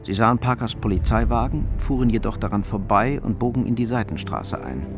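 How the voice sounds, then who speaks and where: Low, creaky male narrator over music. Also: a little fast. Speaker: narrator of a crime radio play. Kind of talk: scripted literary narration. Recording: music under the voice.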